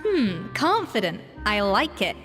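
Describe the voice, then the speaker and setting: A woman's voice: mid-range voice, sing-song, measured pace, a bit bright, faint music behind. A woman playing a proud, perfectionist young chef in an anime game, speaking in a precise, poised, instructive way. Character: exacting, self-assured young female chef, proud perfectionist.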